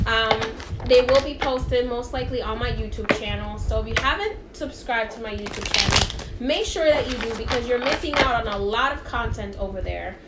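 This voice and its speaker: High-voiced woman in an echoey room. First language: Spanish. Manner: chatty and encouraging, often checks in with "okay?". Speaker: spiritual card reader. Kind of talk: live-streamed reading, chatting to viewers. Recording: echoey room, faint background hiss.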